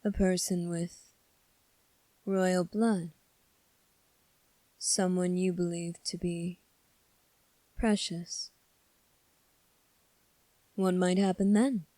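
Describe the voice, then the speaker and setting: Young fantasy prince played by a woman, formal, coolly defiant, very slow delivery, mellow tone, ASMR roleplay. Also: lowish voice, fairly even intonation, no room sound, rough recording. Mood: slow, suggestive. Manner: formal, measured, deliberate phrasing with long pauses.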